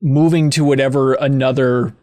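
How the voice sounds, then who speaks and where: A man's voice: mid-range voice, steady tone, moderate pace, mellow, dry recording, clean studio sound. A man hosting a podcast, reading out listener questions and joking around in casual chat. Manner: playful, reads listener questions. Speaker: podcast host.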